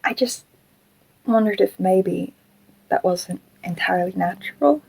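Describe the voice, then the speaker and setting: A woman playing a gentle, troubled animatronic fox who was once human, speaking hesitantly and reflectively in an audio drama. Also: mid-range voice, unhurried, creaky, slightly rough, poor audio.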